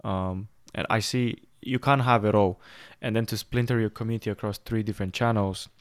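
A man's voice: on the low side, steady tone, fairly quick, dry recording, clean studio sound. A man hosting an interview who asks long, rambling questions full of fillers and side remarks. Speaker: podcast host. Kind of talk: conversational interview.

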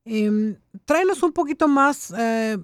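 A woman's voice: a little high, animated, a little slow, no echo. A woman hosting a radio interview show in a studio, chatting and prompting her guest, often answering with short backchannels. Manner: warm chatty interviewer, frequent "ujú" backchannels.

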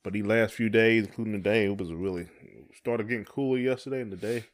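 A man's voice: on the low side, fairly even intonation, fast. A middle-aged man chatting casually and colloquially as a podcast co-host. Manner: colloquial, conversational. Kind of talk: casual chat.

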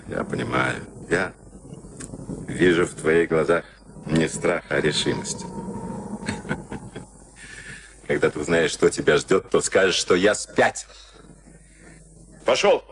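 An actor in a Soviet radio play, playing a sadistic, smug SS camp officer with a taunting, mock-polite manner. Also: male voice, low voice, a bit bright, full character voice. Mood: menacing, mocking. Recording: noisy archival radio drama recording.

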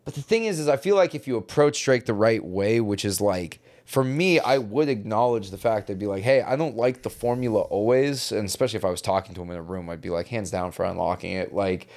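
A man's voice: fairly low pitch, fast, few pauses, darkish tone. A man co-hosting a podcast in casual, opinionated conversation, emphatic, repeating himself for stress and swearing freely. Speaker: podcast co-host.

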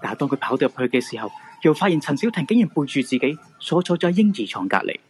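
Animated male Cantonese ghost-story narrator. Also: on the high side, quick pace, slight background noise. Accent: Hong Kong Cantonese. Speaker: narrator of a radio drama.

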